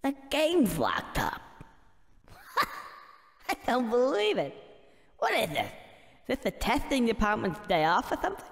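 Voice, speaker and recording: Slow, wry cartoon squirrel hero. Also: male voice, extremely high pitch, huge pitch swings, gravelly, long reverb tail, full character voice. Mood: bemused, scheming. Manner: wry, hesitant, muttering to himself. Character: cheeky sardonic young male cartoon squirrel hero. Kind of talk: video game character acting.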